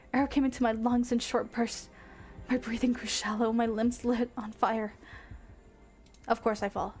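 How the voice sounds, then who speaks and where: A fairly high-pitched woman narrates a visual novel as a streamer. Her reading is dramatic and expressive, mixed with casual commentary, and music plays behind her.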